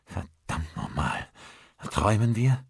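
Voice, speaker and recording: A man's voice: on the low side, lively intonation, slow pace, gravelly, slightly rough, no echo, clean studio sound, pitched above the performer's own voice, exaggerated voice. A man voicing a sleepy, confused husband in a horror audiobook. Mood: bewildered. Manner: groggy, confused.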